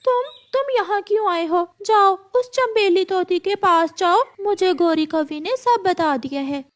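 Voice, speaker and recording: A woman's voice: very high voice, a little slow, voice pushed up. A woman voicing a hurt, indignant female parrot wife in a children's cartoon, with a plaintive manner. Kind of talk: Hindi children's cartoon voice acting.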